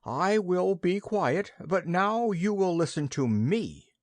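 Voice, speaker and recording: A man's voice: high-pitched, expressive, takes it slowly, no room sound, voice pushed up. A man voicing an indignant, pompous male goose who was once a prime minister, honking and wailing his complaints.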